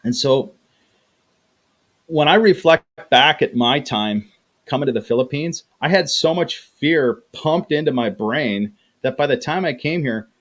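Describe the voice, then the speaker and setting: A man's voice: medium pitch, animated, a little slow, a bit bright. A middle-aged man chatting casually on a livestream, often repeating words as he talks. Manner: chatty, repeats words, acts out quoted voices. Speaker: livestream host reading chat.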